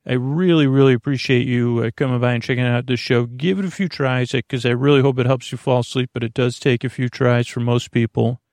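Man, gravelly fry, average pitch, dry recording, sleep podcast host, meandering, halting, whimsical, self-interrupting monologue. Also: fairly even intonation, darkish tone, no echo. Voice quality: gravelly, creaky.

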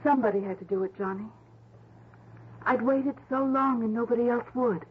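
An actress playing a guilt-laden, quietly defiant woman in a 1960s radio drama, hesitant and melodramatic. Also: on the high side, normal speed, lightly acted. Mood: resigned, confessing. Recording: muffled old radio recording, faint hiss.

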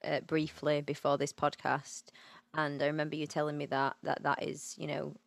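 A woman's voice: deep voice, monotone, normal speed, vocal fry, dry recording. A woman co-hosting a podcast, speaking in a supportive, reflective way. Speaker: podcast co-host. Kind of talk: casual chat.

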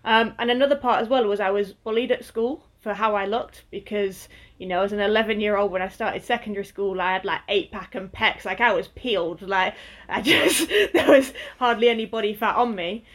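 A woman's voice: medium pitch, fairly quick, few pauses. A woman in her twenties from Northwest England, a guest athlete chatting casually in an interview, with lots of fillers and easy laughter. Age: 20s.

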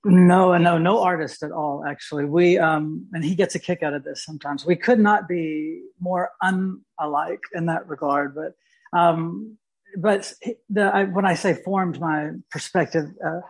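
A man from Mississippi, a guest artist talking thoughtfully in an interview, pausing and restarting as he searches for words. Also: on the high side, fairly even intonation, gravelly, low-quality recording. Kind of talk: reflective interview talk.

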